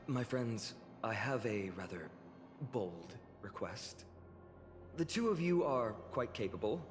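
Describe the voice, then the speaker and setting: An actor playing a noble, earnest young hero in a fantasy game, composed and measured in his speech. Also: lowish voice, lively intonation, big-room sound, faint music behind. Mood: earnest, grateful. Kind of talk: polished video game voice acting.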